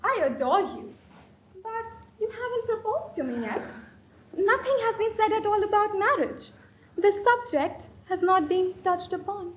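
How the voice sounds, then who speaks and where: A woman's voice: very high voice, animated, measured pace. A woman playing an imperious, fanciful young society lady in a stage comedy of manners, declaiming with affected, grand emphasis. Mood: coy, commanding. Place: indoor stage performance. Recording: roomy stage recording with faint noise.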